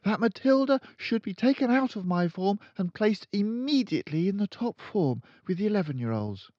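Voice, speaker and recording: An earnest, anxious young schoolmistress from a children's story, played by a man. The voice sits high and moves up and down as she politely insists. The sound is dry and a little dull. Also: big pitch swings, moderate pace, a little mellow, dry recording. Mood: pleading. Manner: pleading, polite but insistent. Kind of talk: children's audiobook character voice.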